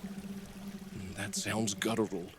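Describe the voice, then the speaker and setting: A man playing a wary, gruff middle-aged game warden in a found-footage horror drama, speaking in a clipped, deliberate way. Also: on the low side, big pitch swings. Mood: tense, muttering. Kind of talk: found-footage horror acting, hushed muttering and radio call. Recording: noisy VHS-style found footage.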